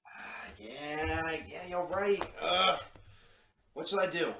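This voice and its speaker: A man's voice: fairly high pitch, takes it slowly, bright, forceful tone, full character voice. A man playing a nervous, compliant crook who placates his boss in an old-time radio crime drama. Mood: nervous, placating. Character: nervous, compliant gangster's underling.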